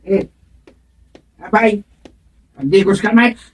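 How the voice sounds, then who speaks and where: A man with an Ilocano accent playing a comical, excitable older village husband in a radio comedy-drama, full of exclamations and chuckles. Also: high-pitched, slightly rough, dry recording, full character voice. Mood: indignant. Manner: exclamatory, chuckling, drawn-out reactions.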